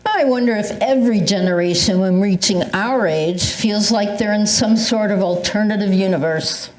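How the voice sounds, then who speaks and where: A woman's voice: medium pitch, normal speed, lightly acted. An older woman playing an exasperated, comically paranoid senior in an amateur readers theatre comedy, complaining wryly and theatrically. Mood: bemused. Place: indoor live readers theatre performance. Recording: roomy, echoing live stage pickup.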